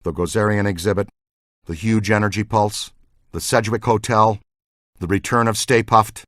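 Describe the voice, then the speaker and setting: A man's voice: lowish voice, steady tone, normal speed, a bit bright, dry recording, clean studio sound, lightly acted. A man voicing an eager, good-natured ghost-hunting scientist in a video game, earnest and technical. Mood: thoughtful. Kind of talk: video game dialogue, battle shouts and banter.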